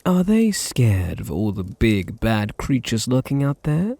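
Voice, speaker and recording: A man playing a possessive, teasing vampire master in an intimate ASMR roleplay, with a deep, husky British voice and a languid, condescending manner. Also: sing-song, unhurried, warm tone, dry recording. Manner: languid, condescending, purring endearments.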